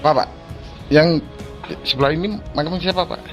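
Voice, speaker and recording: A man hosting a casual vlog outdoors, asking quick questions and repeating the answers back. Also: a little high, fairly bright tone. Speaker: vlog host. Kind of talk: casual field vlog interview. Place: outdoors at an old graveyard. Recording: music bed under the talk.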